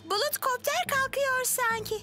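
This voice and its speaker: A woman voicing a bright, helpful young girl in a dubbed cartoon, talking excitably. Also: very high voice, moderate pace, loud music behind the voice. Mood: excited. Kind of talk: cartoon dubbing.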